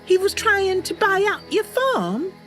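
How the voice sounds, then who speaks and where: A woman's voice: very high voice, sing-song, unhurried, fairly bright tone, faint music behind. A bubbly, chatty woman livestreamer talking casually and improvising roleplay as she plays a game. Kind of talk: casual live stream chat with improvised roleplay.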